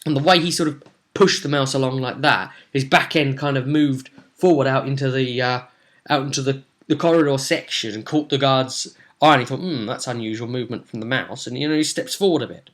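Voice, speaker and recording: A young man casually recounting a tabletop game session as game master, rambling and halting as he goes. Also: mid-range voice, fairly bright tone. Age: young adult. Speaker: tabletop role-play game master, vlogger. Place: small room at home, indoors.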